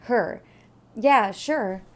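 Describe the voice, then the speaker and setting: A woman's voice: fairly high pitch, drawn-out words. A woman playing a casual, helpful office receptionist in a naturalistic audio drama, talking in an offhand, matter-of-fact way.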